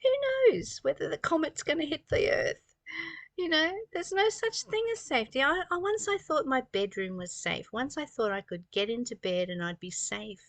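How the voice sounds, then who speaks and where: A woman's voice: high-pitched, lively intonation, normal speed, dry recording. A woman in her sixties giving an earnest, preaching spiritual talk, reading passages and explaining them with rhetorical questions and repetition. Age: 60s. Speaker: spiritual teacher reading and commenting on a study text.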